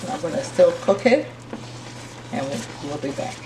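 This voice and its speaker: A woman from Liberia with a Liberian accent, calmly explaining each step of a recipe while cooking in her home kitchen. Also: deep voice, moderate pace. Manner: calm, step-by-step instructions while stirring. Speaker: cooking channel host. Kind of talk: casual cooking demonstration. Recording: distant mic with frying noise. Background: sizzling pot and stirring.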